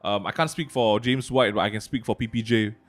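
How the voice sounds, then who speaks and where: A man, hosting live commentary on a card game tournament with an excitable, slangy manner. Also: fairly low pitch, a little fast. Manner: excitable, slangy, hypes players.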